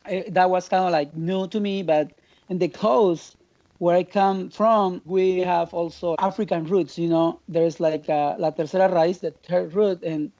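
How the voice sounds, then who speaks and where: A man with a Mexican accent talks haltingly, often stopping to search for words. His voice is mellow and warm. It sounds dull and lo-fi over the video call. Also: high-pitched, steady tone. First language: Spanish. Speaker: percussionist guest. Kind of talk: casual remote interview.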